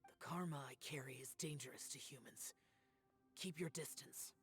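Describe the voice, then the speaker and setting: A man's voice: on the high side, normal speed, gravelly, a bit bright. A man voicing a solemn, aloof young immortal demon-slayer in a fantasy game, speaking in a grave, guarded, restrained way. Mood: guarded, terse. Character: solemn, aloof young male immortal demon-slaying warrior burdened by karma. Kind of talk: scripted fantasy game acting. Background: background music.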